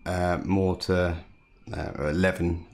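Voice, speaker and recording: A man from the United Kingdom, a home cockpit builder, calmly walking through his hobby build with frequent "uh" fillers. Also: deep voice. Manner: calm, unhurried, frequent "uh" fillers. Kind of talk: casual hobby walkthrough.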